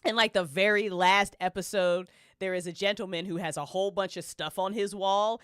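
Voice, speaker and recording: A woman's voice: mid-range voice, steady tone, normal speed, a bit bright, clear, resonant tone, no room sound, studio quality. A woman hosting a casual podcast chat, affirming and emphatic. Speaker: podcast host. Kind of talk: casual chat about a TV show.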